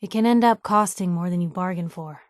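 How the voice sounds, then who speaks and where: A woman playing a sassy, warm-hearted young reporter and loyal companion in a video game, talking in wry, breezy, clipped slang. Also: fairly low pitch, fairly quick, no echo, studio quality, natural voice. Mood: wry, teasing. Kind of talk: game voice lines, short reactive barks.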